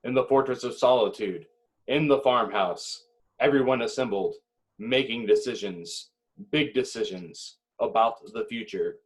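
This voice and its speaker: A man narrates screenplay directions in a mid-pitched voice. He reads slowly and dramatically, setting each phrase apart with deliberate little pauses. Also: low-quality recording. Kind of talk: dramatic scripted narration at an amateur table read.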